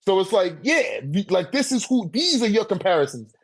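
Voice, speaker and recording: A man with a high-pitched voice debates in a loose, conversational way. His talk is thick with slang and swearing, and he keeps circling back to check that he is understood. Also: big pitch swings, moderate pace, slightly rough. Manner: slangy, profane, repeats "you know what I'm saying". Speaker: debater on a manga ranking podcast. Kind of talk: casual debate chat.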